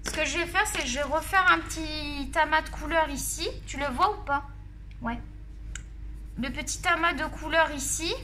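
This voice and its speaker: A high, bright-voiced woman with a Marseille accent talks in a chatty, familiar way, joking with her viewers as she colors. It is a relaxed home vlog recorded at her desk. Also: normal speed, slight background noise. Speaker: art vlogger reviewing colored pencils. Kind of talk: casual chat while coloring on camera. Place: at a desk at home, face off camera.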